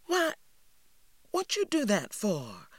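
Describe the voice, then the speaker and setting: A spoiled, condescending teenage girl with a drawl, as a reader puts her on speaks high and prim, her pitch leaping up and down. The storybook voice sounds close and dry, with no room around it. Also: animated, measured pace, no echo, close to an everyday voice. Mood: haughty. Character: proud bossy teenage girl, haughty and spoiled.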